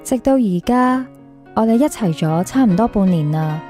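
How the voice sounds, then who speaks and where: A woman's voice: medium pitch, lively intonation, normal speed, close to an everyday voice. A woman playing an insecure, lovelorn young woman in a radio drama, plaintive and questioning, with a Hong Kong Cantonese accent. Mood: wistful. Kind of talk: radio drama acting with confessional narration. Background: music under the voice.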